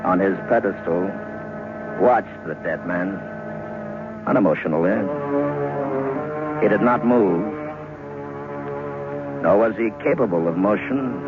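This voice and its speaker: Grave deep male narrator for old radio horror, ominous, thin boxy recording. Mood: solemn, foreboding. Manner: measured, solemn, ominous. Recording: narrow-band old radio recording, loud music.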